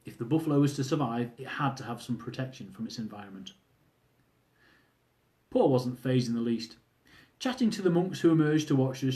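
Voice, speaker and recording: A man's voice: medium pitch, mellow. A man reading his own humorous memoir aloud, telling the story wryly and speaking asides to his listeners. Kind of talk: audiobook-style reading of a travel memoir.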